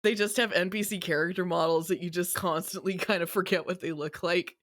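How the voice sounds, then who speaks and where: A woman's voice: lowish voice, steady tone, a little fast, fairly bright tone, no echo. Sardonic woman podcaster, dry close recording. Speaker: podcast co-host. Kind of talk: casual chat about a game's story.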